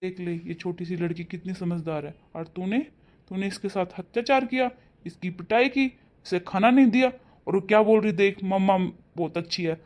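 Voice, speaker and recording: A man's voice: high-pitched, normal speed, natural voice. A man playing a stern father who scolds his wife and comforts his daughter. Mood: angry. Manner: scolding loudly, then softening to coax. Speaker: actor in a family drama. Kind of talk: amateur family drama acting.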